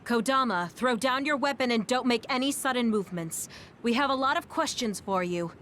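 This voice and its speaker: A woman playing a composed, caring military squad leader in a video game, gentle but authoritative. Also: on the high side, brisk, slight background noise, lightly acted. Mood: commanding. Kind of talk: dubbed anime-style video game acting.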